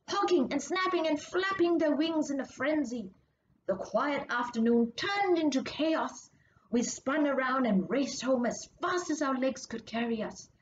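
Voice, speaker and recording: A woman reading a story excerpt aloud with expressive, measured and dramatic narration. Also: sits high. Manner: measured, dramatic, drops to hushed tones for suspense. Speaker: author reading their own story. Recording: muffled audio with clear background noise.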